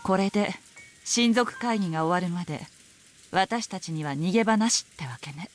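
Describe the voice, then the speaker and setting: Actress as rapid, composed middle-aged woman. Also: fairly low pitch, animated, a little hiss or hum, natural voice. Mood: composed, admonishing. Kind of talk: Japanese visual novel voice acting. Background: music and rain.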